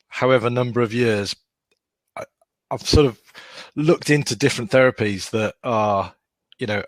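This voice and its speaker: A man's voice: medium pitch, no echo, poor audio. A man co-hosting, asking a thoughtful and somewhat hesitant personal question. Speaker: co-host. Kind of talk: conversational questioning.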